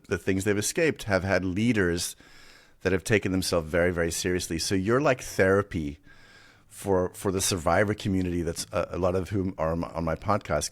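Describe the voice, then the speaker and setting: A South African man with a partly American accent hosting a podcast interview, animated and quick to laugh, often restarting sentences. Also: low voice. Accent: South African with American influence. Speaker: podcast host. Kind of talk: casual interview chat.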